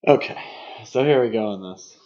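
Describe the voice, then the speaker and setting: A man's voice: on the low side, moderate pace, a little mellow. A man co-hosting a podcast, talking casually and giving his opinions on films in a conversational way. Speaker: podcast co-host. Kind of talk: casual film discussion. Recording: slightly dull lo-fi podcast audio.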